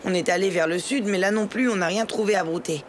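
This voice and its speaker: A man's voice: high voice, fast, a little hiss or hum, lightly acted. A man voicing a weary, hungry young male moose in a French cartoon, plaintive and naive. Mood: bewildered. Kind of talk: French cartoon dub acting.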